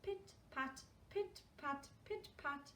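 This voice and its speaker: A woman's voice: high-pitched, slow, sharp tone. A woman reading a story aloud to young children as a school storyteller, adding questioning asides to her listeners. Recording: roomy, distant mic, faint noise.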